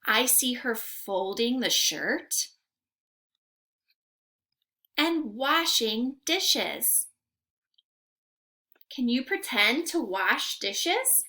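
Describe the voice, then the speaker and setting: High, animated young woman teaching little children. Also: extremely slow. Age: young adult. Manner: animated, sing-song, carefully enunciated with questions to young listeners. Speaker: teacher reading aloud to children. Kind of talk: interactive children's read-aloud lesson. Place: room at home.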